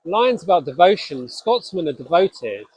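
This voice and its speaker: Scottish-born male host, no accent, earnest and halting, fairly high pitch. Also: big pitch swings, a little mellow, slightly rough, low-quality recording. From Scotland. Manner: earnest, halting, with frequent restarts. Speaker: spiritual podcast host. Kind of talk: reflective solo talk.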